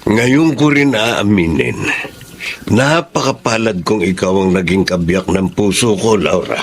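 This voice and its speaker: A man's voice: lowish voice, expressive, measured pace. A man with a Filipino accent acting a devoted middle-aged husband and father in a radio drama, earnest and sentimental. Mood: tender, grateful.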